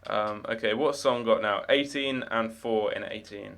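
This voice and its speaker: A man's voice: fairly low pitch, a little slow, gravelly, fairly bright tone. Chatty young man, rambling casually. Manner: rambling, chatty, many fillers and restarts. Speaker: streamer. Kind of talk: casual live-stream chat.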